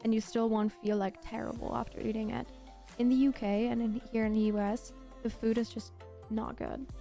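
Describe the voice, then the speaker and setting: A woman from Germany, whose native language is German, chats casually to her livestream viewers. Her voice sits somewhat high but is very mellow and soft in tone. She talks in a gushing, emphatic way, often repeating herself, with faint music behind her. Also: little pitch movement, a little fast, vocal fry. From Germany, grew up in Berlin. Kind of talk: casual chat with live viewers.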